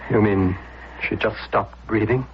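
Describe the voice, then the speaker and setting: An actor playing a lonely, guilt-ridden old man, half mad, in a halting radio drama monologue. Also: on the low side, a little hiss or hum, lightly acted. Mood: shocked. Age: older. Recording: muffled old radio broadcast, music behind.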